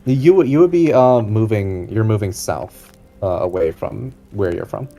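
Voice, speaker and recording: Very mellow man explaining game geography. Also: on the low side, moderate pace, soft background music. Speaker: game master. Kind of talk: casual explanation in a tabletop game.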